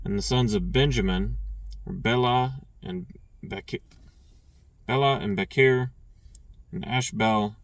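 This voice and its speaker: A man reading scripture aloud, stumbling and restarting on names and clearing his throat now and then. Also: on the low side, no room sound, distant mic. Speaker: scripture reader.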